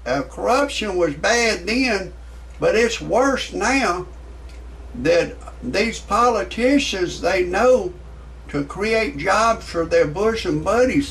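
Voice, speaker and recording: A cussing, blustering man in his sixties with a drawl, delivering a comic rant. His voice sits high for a man. He sounds some distance from the mic. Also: a bit bright. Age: 60s. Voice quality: profane, blustering. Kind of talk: ranting stand-up monologue.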